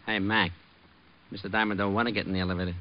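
An actor playing a sarcastic American gangster's thug, giving curt orders and wisecracks in street slang in a 1950s radio crime drama. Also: male voice, on the low side, little pitch movement, racing, lightly acted. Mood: menacing, sarcastic. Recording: muffled, noisy vintage radio recording.

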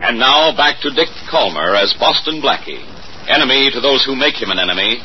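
A man's voice: medium pitch, expressive, brisk. Vintage male radio announcer, very noisy. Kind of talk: 1940s radio announcing, scripted. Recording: muffled, very noisy old radio recording.

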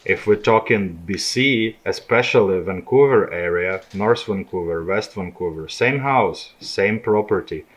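A man's voice: fairly low pitch, a little slow, hardly any pauses. A man in his thirties from Ukraine, speaking with a Ukrainian accent as a guest in a casual podcast chat, explaining things plainly with small hesitations. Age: 30s.

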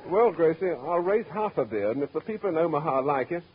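An actor playing an earnest, hesitant, folksy comic stooge in a 1940s radio comedy. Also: male voice, a little high, expressive, quick pace, slightly rough. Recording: muffled, noisy vintage radio broadcast.

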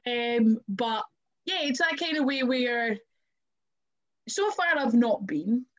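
A woman's voice: a little high, lively intonation, slow pace, highs cut off. A Scottish woman and wrestler, chatting casually and acting out little quoted exchanges. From Scotland. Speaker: wrestler and podcast guest. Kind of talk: casual chat.

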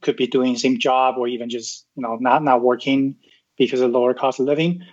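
A man's voice: medium pitch, fairly even intonation, a little fast. A man from Taiwan talks through his ideas as a guest, checking in with "right?" and restarting phrases as he goes. His voice sits in an ordinary middle register. The audio is dull and muffled. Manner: explanatory, frequent "right?" tags and restarts. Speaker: podcast guest. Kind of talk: casual interview talk.

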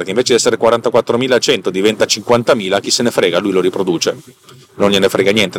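Man, lowish voice, slightly tired, informal explanatory monologue, stumbles and rephrases, checks in with listeners. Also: slight background noise. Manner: informal, digressive, slightly stammering with word repetitions and self-corrections; checks in with listeners using rhetorical questions. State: a bit tired. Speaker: podcast host. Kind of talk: casual explanatory tech monologue.

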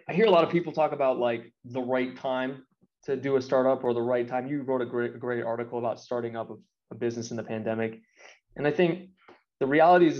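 A man's voice: medium pitch, a little mellow. A man in his late thirties with an American accent, chatting casually and bluntly as an interview guest. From United States. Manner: blunt, colloquial. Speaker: interview guest, games marketing professional. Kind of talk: casual opinionated chat. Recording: roomy echo, slightly dull sound.

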